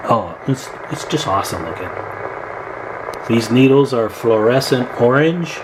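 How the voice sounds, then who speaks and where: A man with a medium-pitched voice walks viewers through his own project in a casual, folksy way. He often checks in with short rhetorical questions. The sound is a noisy indoor recording from a handheld camera. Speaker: hobbyist radio builder presenting own work. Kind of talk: casual product showcase to camera.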